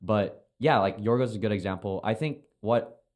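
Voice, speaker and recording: Man, first language Chinese, podcast guest, rambling and casual, swears a lot, somewhat low voice. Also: normal speed, a little mellow. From China. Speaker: filmmaker guest.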